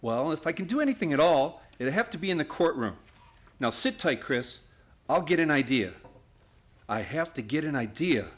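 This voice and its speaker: An actor playing an earnest, loyal young lawyer in a radio play, speaking emphatically. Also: male voice, on the high side, big pitch swings, normal speed, slight background noise. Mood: flustered, determined. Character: earnest, loyal young male lawyer, quick-witted idealist. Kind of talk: stage-style radio play acting.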